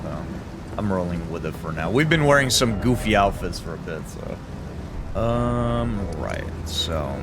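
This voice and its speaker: A man's voice: low voice, animated, a little slow. A man from Italy streaming a video game, chatting casually and joking with his viewers. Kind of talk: casual gameplay commentary. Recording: game music underneath. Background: music.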